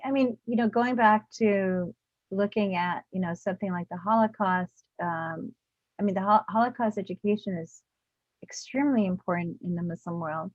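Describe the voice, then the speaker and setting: A woman's voice: mid-range voice, dry recording. A woman professor speaks as a guest over a video call, her voice dull and dry, with no room sound. She explains earnestly and steadily, dropping in "you know" and "I mean" as she goes.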